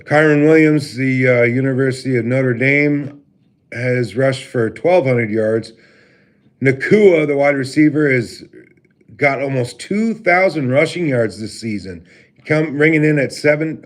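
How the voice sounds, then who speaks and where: A man with a deep voice hosting a casual livestream, greeting viewers by name and talking with many halting filler pauses. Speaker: livestream host. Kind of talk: casual live stream chat with viewers. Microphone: laptop mic.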